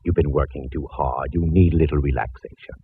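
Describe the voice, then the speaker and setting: An actor playing a fussy, genteel middle-aged art dealer in a 1950s radio drama, speaking in a refined, mannered way. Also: male voice, rumbling low, a little fast, slightly rough, heavily acted. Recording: dull old radio broadcast.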